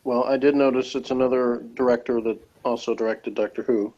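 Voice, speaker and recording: Dull, lo-fi call audio carries a man with a middling pitch. He is a co-commentator on a review show, talking in a casual, conversational way. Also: steady tone, a little fast, dark tone.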